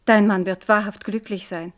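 A woman's voice: mid-range voice, fairly even intonation, normal speed, close to an everyday voice. A woman playing a stern devout queen mother. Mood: solemn. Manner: solemn, urgent, rising to fervent appeals. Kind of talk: 1970s radio play acting.

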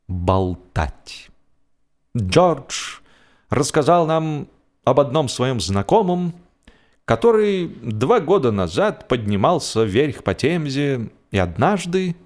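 A man narrating humorous literary prose as an audiobook, expressively and with deliberate, measured pauses. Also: mid-range voice, darkish tone.

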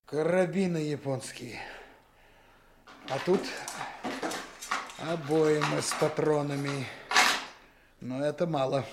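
A commanding male detective in an old Soviet radio play, with a highish, creaky voice. He is brusque and dryly ironic, and the recording is noisy with clicks. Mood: businesslike, urgent. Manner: brusque, ironic, giving orders. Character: commanding, sardonic male detective leading a 1920s raid. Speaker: police detective in charge of a search. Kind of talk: Soviet radio drama acting.

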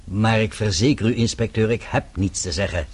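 An actor playing a guarded nightclub owner in a radio play, speaking formally and defensively. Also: male voice, fairly low pitch, a little fast, breathy edge. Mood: guarded, indignant. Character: guarded, smooth-talking male Parisian nightclub owner.

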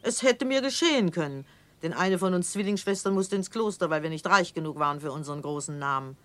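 Low-voiced, imperious old noblewoman, played by an actress. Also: lively intonation, brisk, no echo, lightly acted. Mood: grave, bitter. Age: older. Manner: haughty, grave, theatrical. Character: old aristocratic lady, imperious, severe and devout. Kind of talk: classic German radio drama acting.

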